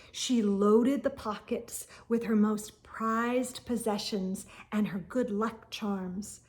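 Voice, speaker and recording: A woman hosts storytime in a high, very animated voice. She narrates slowly and dramatically, shaping each phrase deliberately for young listeners. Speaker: storytime host and reader. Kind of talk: expressive children's read-aloud narration.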